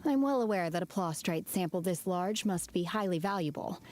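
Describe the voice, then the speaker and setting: A woman voicing a calm, aloof young female warrior in a game, talking in a detached, formal way. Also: on the low side, normal speed, a little hiss or hum, natural voice. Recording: game audio with music behind.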